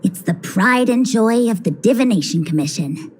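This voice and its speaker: A woman's voice: fairly high pitch, animated, moderate pace, slight background noise, lightly acted. A woman voicing an easygoing young female diviner who explains things in a casual, breezy way in a video game. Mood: breezy, explanatory. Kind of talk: scripted video game voice acting. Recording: music under the voice.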